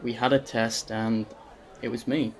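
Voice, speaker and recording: A man's voice: mid-range voice, little pitch movement, a little slow, natural voice. A young man acting a guarded divorcee giving terse answers in an audio drama. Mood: guarded, defensive. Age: young adult. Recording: voice amid loud crowd chatter.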